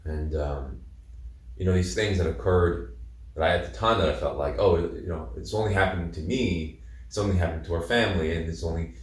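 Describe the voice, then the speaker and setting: A man directing a film, speaking softly and reflectively with many fillers in a casual interview. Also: sits low, brisk. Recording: echoey room, distant mic, faint noise.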